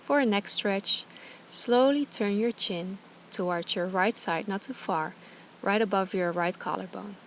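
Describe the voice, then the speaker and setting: A woman teaching yoga and guided meditation, speaking calmly and soothingly in short, gentle instructions. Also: mid-range voice, unhurried, slight background noise. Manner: calm, gentle, soothing guidance with short phrases.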